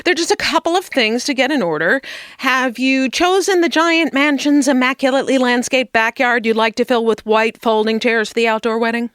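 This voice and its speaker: A woman playing a brisk, unflappable wedding planner in a comedy sketch, with polished sales patter. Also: fairly high pitch, fairly bright tone, clear, resonant tone, dry recording, clean studio sound, close to an everyday voice. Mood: welcoming.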